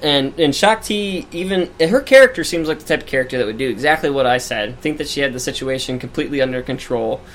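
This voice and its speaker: A man with a high-pitched voice co-hosting a casual fan discussion, animated and laughing often. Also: quick pace, few pauses, slight background noise. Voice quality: high-pitched for his age. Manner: animated, laughs often, echoes his co-host. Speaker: podcast co-host.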